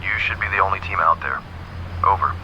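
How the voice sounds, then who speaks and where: An actor playing a brisk, official range-control operator over military radio in an audio drama. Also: male voice, low voice. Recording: dull radio transmission with clear noise.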